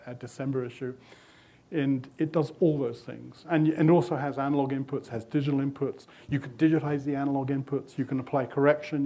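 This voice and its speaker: A man's voice: mid-range voice, darkish tone. An older man with a British accent, a magazine editor answering audience questions at a conference talk in a hotel meeting room. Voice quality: thoughtful, loose explanatory manner with restarts. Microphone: podium mic, speaking away from it.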